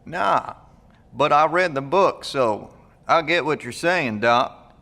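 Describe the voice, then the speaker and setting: Man acting as slow, bright-voiced folksy country companion. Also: on the high side, animated, big-room sound, exaggerated voice. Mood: teasing. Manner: folksy, informal. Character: plainspoken country companion, male, curious, good-natured, practical. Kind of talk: audio drama acting.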